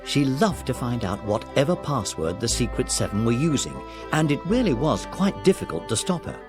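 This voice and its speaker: A man's voice: medium pitch, sing-song, normal speed, over music. A man reading scripted narration for a children's audiobook story.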